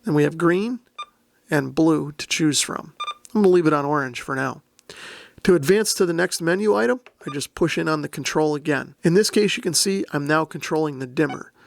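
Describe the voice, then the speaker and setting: A man's voice: on the high side, creaky, fairly bright tone, slight background noise. A man explaining equipment methodically and plainly, step by step, as the host of a product demonstration. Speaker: host, radio reviewer. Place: indoor room.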